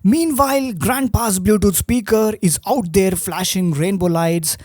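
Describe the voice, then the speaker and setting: A presenter reads a scripted review at an unhurried pace. The voice is mellow and soft-edged, recorded dry and close. The delivery is wry, with rhetorical turns. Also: medium pitch, no room sound. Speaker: presenter of a radio review video. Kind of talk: scripted narration.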